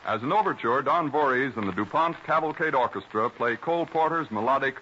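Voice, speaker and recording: A man's voice: mid-range voice, lively intonation. A man with an American accent giving formal, scripted narration as a 1930s radio announcer. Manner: formal, measured delivery. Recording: dull, noisy 1930s radio recording.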